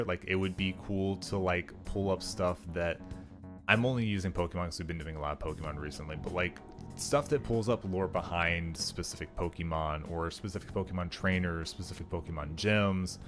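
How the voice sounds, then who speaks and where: A man's voice: deep voice, normal speed, music in the background. A young man streaming, chatting casually and rambling with lots of filler words. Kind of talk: casual livestream chat.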